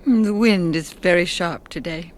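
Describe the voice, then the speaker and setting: A woman's voice: fairly low pitch, a little slow, slight background noise, close to an everyday voice. A woman playing a long-suffering, middle-aged working mother in a radio drama, narrating and speaking in scenes. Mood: sorrowful.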